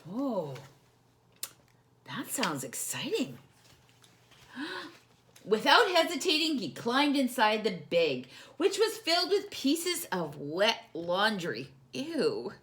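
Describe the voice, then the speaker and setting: A woman reads a picture book aloud for young children. She takes her time, with a highly expressive, moving pitch. She often turns to her listeners directly in an animated, questioning storytime style. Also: slow.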